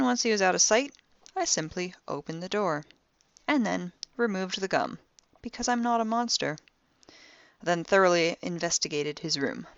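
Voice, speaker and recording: A woman's voice: on the low side, a bit bright, no room sound, highs cut off. A woman playing a wry, impulsive young amateur detective, recording a spoken log in an audio drama with sardonic, theatrically dry conversational delivery. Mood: smug, conspiratorial.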